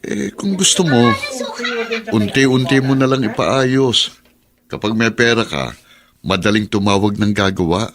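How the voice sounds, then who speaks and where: Low-voiced, coaxing older cousin. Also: male voice, lively intonation, unhurried, a bit bright. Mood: persuasive. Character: helpful, persuasive older male cousin arranging a house sale. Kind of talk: Filipino radio drama acting.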